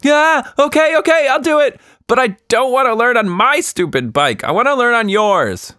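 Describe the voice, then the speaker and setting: A man's voice: extremely high pitch, expressive, normal speed, pushed and bright, smooth, no echo, studio quality, pitched above the performer's own voice, heavily acted. A man voicing a young girl, eager but argumentative and a bit reluctant, in a children's story. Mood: pleading.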